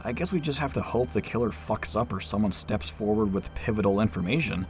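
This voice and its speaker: Casting: male actor for an easygoing, animated train worker, chatty and teasing, audio drama with music underneath. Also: on the low side, fairly quick, natural voice. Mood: reassuring. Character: breezy, chatty, friendly train attendant, adult man. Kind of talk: naturalistic audio drama acting.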